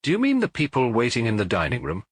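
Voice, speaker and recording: A man voicing a weary, gruff, unimpressed police detective in an audiobook, terse and listless. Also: mid-range voice, huge pitch swings, fast talker, no echo. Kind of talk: audiobook character voice.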